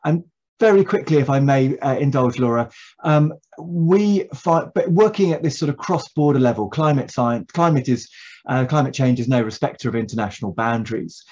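A man's voice: medium pitch, expressive, moderate pace, a little mellow, dull audio. A middle-aged man speaking on an online panel from a home study, hesitant, with frequent fillers and restarts. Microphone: video call.

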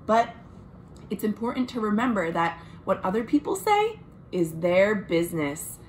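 A young American woman reads a picture book aloud to children, expressive and deliberate, with friendly asides like a storytime librarian. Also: mid-range voice, a little hiss or hum. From United States. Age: young adult. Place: small room at home.

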